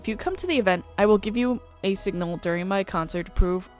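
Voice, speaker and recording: A woman's voice: medium pitch, fast talker, close to an everyday voice. A prim and earnest virtual pop idol, voiced by a woman reading a visual novel, speaks with careful courtesy and stilted formality. Her mid-range voice sits over background music. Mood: troubled, earnest. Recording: music playing behind the voice.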